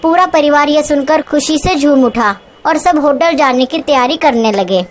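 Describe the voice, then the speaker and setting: Woman narrator for a scripted ghost story, notably high voice, slight noise behind. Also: expressive, moderate pace.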